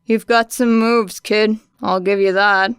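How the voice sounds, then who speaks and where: A woman voicing a gruff, weary man, a terse and deadpan hero in an audiobook story. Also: mid-range voice, unhurried, no echo, clean studio sound. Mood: dry, tired. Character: gruff, weary, terse male hero, adult vigilante-catcher.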